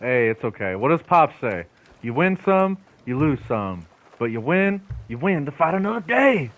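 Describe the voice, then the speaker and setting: A man giving casual, slangy gameplay commentary, excitable and often talking to himself. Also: a little high, moderate pace, narrow, tinny audio. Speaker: video game streamer.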